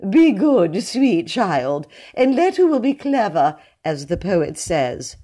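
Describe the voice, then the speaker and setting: A woman voicing a pompous, sanctimonious young gentleman poet who talks in an affected, high-pitched voice full of hesitant pauses. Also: very animated. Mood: pompous, gushing.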